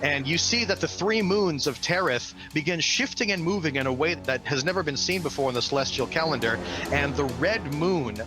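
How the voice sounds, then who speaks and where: A man's voice: a little high, lively intonation, moderate pace. A man working as a game master, giving dramatic narration for a tabletop fantasy game. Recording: loud music behind the voice.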